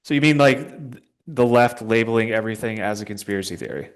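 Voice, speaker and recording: A young man speaking as a guest, giving informal political talk in answer to questions, somewhat halting as he searches for words. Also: on the low side, animated. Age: young adult.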